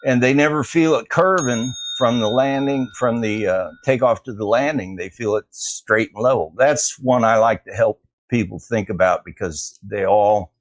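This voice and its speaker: Halting male caller, mid-range voice. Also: medium pitch. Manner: halting, searching for words. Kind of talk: casual call-in chat.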